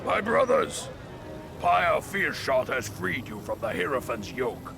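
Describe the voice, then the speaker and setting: An actor playing a rousing beast-warrior orator in a video game, declaiming loudly in a gravelly voice amid a noisy crowd. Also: male voice, mid-range voice, big pitch swings, measured pace. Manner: declamatory, shouting. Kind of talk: dramatic video game acting. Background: crowd and clear noise.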